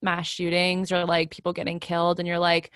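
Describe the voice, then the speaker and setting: A woman's voice: lowish voice, monotone, fairly quick, fairly bright tone, clear, resonant tone, dry recording. A woman talks in an informal, conversational way. Her sentences are sprinkled with "like". The sound is dry, with little room around her. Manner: peppers speech with "like". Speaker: actor in a play reading. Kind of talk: casual chat.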